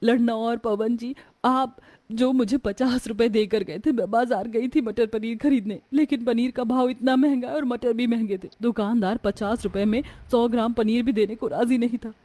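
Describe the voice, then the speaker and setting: A woman's voice: on the high side, steady tone, normal speed, dark tone, dry recording, close to an everyday voice. A woman voicing a poor, dutiful young daughter-in-law in a melodramatic story, earnest and pleading. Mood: exasperated, upset. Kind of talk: melodramatic Hindi moral story acting.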